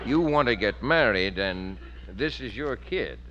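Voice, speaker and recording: Sardonic, animated American beat cop. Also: male voice, on the low side, measured pace. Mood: mocking. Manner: sardonic, slangy. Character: gruff, sarcastic park policeman, world-weary. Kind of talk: 1940s radio sitcom acting. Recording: muffled, hissy old radio recording.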